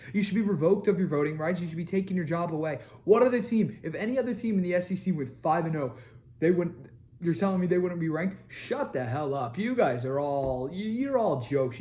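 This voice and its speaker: A young man hosting a sports show, ranting with energy and repeating his points for emphasis, his voice a little raspy from screaming. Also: sits high, mellow. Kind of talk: fired-up sports commentary to camera.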